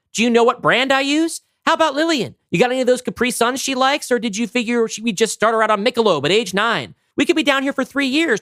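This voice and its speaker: A man's voice: very high-pitched, dry recording, clean studio sound, pitched above the performer's own voice. A man reading the part of an exasperated, sarcastic housewife in her forties in a script read, scolding in pointed questions. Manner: sharp, nagging, sarcastic rhetorical questions. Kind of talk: amateur script table read.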